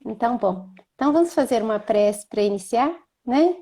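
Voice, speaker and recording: A woman with a Minas Gerais accent hosting a live video call, chatting and then leading a slow, gentle prayer. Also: fairly high pitch, expressive. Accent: Minas Gerais Portuguese. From Minas Gerais, Brazil. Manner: slow, gentle and devout when praying. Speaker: host.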